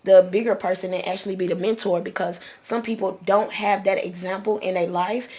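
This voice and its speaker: A woman speaks as a panelist in a casual podcast chat. Her voice sits at an average pitch for a woman. She is blunt and slangy, with an informal delivery. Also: steady tone, brisk, darkish tone.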